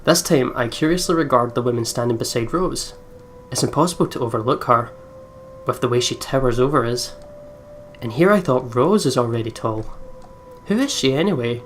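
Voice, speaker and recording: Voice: mid-range voice, animated, fairly quick, slight background noise. A gamer doing casual let's play commentary, swearing and stumbling while reading visual novel narration aloud.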